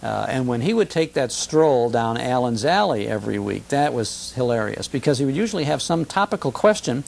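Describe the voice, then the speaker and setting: A man's voice: mid-range voice, big pitch swings, a little fast, creaky, few pauses, darkish tone. An American man giving conversational commentary as a guest expert in an interview. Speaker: guest expert on old-time radio.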